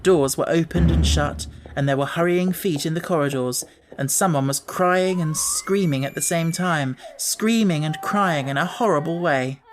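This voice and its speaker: A woman with a slightly low voice reads a children's book. Her delivery is scripted, expressive storytelling, as an audiobook narrator. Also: moderate pace, background noise.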